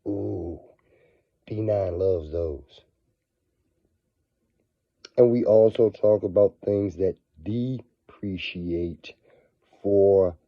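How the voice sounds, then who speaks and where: A man with a cold, his voice scratchy and raspy, talking casually as a finance vlogger. He swings between low murmurs and excited bursts. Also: dry recording. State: hoarse from a cold. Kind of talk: casual video monologue. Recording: dull, lo-fi sound.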